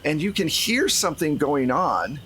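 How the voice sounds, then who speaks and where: A man's voice: fairly high pitch, sing-song, normal speed, pushed and bright, rough recording. A man acting as game master, narrating a tabletop role-playing game to the players. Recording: faint background noise.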